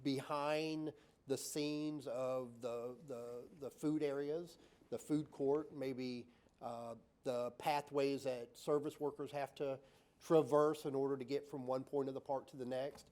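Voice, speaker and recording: A man's voice: mid-range voice, steady tone, measured pace, a little mellow. Man explaining with lots of "right?". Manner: many fillers and restarts, tag "right?"; explains with acted-out examples. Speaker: designer on a panel. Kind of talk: answering panel questions.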